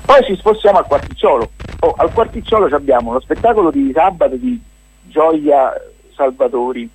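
A man with a Roman accent speaks in a creaky, husky voice, close and dry. He is an interview guest talking off the cuff about what he is presenting. He rambles and stumbles, often poking fun at himself. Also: on the high side, sing-song, dry recording, poor audio. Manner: halting, self-deprecating, joking, rambling. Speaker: guest presenting a cultural festival. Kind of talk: informal radio interview, unscripted chat.

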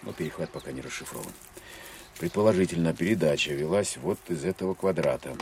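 An actor playing a stern, weary army captain in a war audio drama, speaking in a curt, authoritative, matter-of-fact way. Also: male voice, a bass voice, animated, quick pace, creaky, a little mellow, a little hiss or hum, heavily acted. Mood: firm, commanding. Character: stern, weary male army captain leading a search team.

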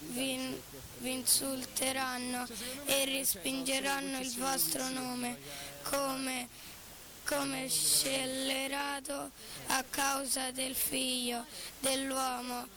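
Slow, high-voiced young Roman woman reading. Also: monotone, poor audio. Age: young adult. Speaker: member of the public reading aloud. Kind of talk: halting reading aloud in a street interview. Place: outdoors on a Rome street near schools. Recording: busy street crowd behind.

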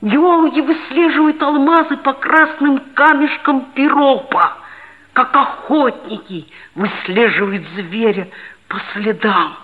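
Earnest high-voiced schoolboy narrator. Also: slow pace, narrow, tinny audio. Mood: earnest, storytelling. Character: schoolboy narrator, geologist's son, earnest and self-assured. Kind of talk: scripted narration in a 1960s radio play. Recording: band-limited 1960s radio play recording.

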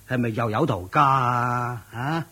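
A nagging older village uncle in a radio play, timid beneath the chiding. The voice is husky and mid-pitched, full of sighs, with a Hong Kong Cantonese accent. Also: male voice, measured pace, bright, forceful tone. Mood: nagging concern. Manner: sighing, chiding, stammering exclamations. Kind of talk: Cantonese radio drama acting.

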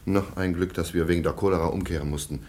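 Radio play, male actor as middle-aged aristocrat, low-pitched, stern, sardonic and formal. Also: fairly even intonation, fast talker, a little mellow, lightly acted. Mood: sceptical, ironic. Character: stern, sceptical middle-aged German baron, sharply ironic. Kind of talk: German radio play acting.

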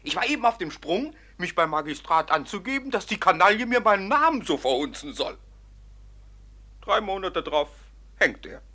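An actor playing a boastful, gleefully wicked bandit, gloating and swaggering in a classic radio drama. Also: male voice, high voice, sing-song, moderate pace, bright, forceful tone, exaggerated voice. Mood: gleeful boasting.

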